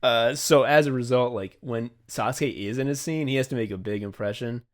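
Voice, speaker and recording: A man's voice: mid-range voice, a little fast. A man hosting a podcast, chatting casually and effusively, with plenty of swearing. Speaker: podcast host. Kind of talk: casual behind-the-scenes podcast chat.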